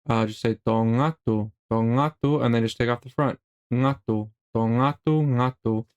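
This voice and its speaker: A middle-aged man narrating a slideshow language lesson in a relaxed way, with many fillers and restarts. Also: mid-range voice, normal speed, clear, resonant tone, muffled recording. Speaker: language teacher.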